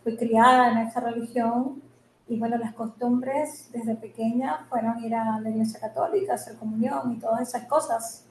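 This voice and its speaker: A Venezuelan woman of about fifty, an interview guest telling her story softly, with many fillers. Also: fairly high pitch, fairly even intonation, normal speed, echoey room, slight background noise, rough recording.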